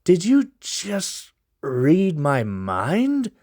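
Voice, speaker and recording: A man's voice: mid-range voice, big pitch swings, a little slow, fairly bright tone. A man doing a bitter, short-tempered young man. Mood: incredulous. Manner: exasperated, snappy.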